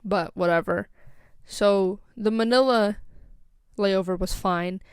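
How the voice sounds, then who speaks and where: A woman's voice: medium pitch, slow pace, clear, resonant tone, dry recording, clean studio sound. A young woman with an American accent telling a casual, rambling story as a vlogger, full of "like" and "um". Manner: rambling, scatterbrained, lots of "like" and "um".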